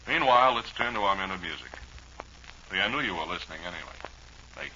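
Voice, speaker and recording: Male old-time radio host, American, folksy ad-libber with a sharp, punchy tone; muffled archival sound with light hiss. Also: low voice, animated, quick pace. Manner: folksy, wry, rambling. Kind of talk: rambling ad-libbed old-time radio chat with live commercials. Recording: dull, noisy old radio broadcast recording.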